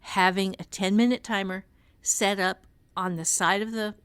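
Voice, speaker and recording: A woman's voice: medium pitch, steady tone, normal speed, clean tone, no echo. A woman co-hosting a podcast, chatting casually in a candid, emphatic, self-deprecating way. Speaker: author and teacher, podcast co-host. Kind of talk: casual chat.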